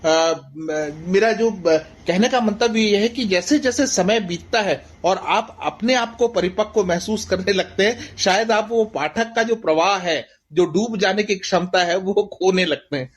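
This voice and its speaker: A middle-aged man with an Indian accent speaks in a high-pitched voice. He gives reflective interview answers as an author, earnest and rhetorical, repeating phrases for emphasis. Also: a little slow, hardly any pauses, husky. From Bastar, India. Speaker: guest author.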